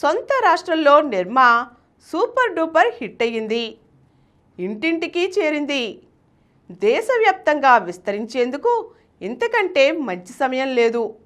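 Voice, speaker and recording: A woman hosts and reads a scripted story. Her voice sits high and swings widely in pitch. She stresses key words with an expressive storyteller's emphasis. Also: a little slow, long pauses. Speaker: host and reader of a business success story show.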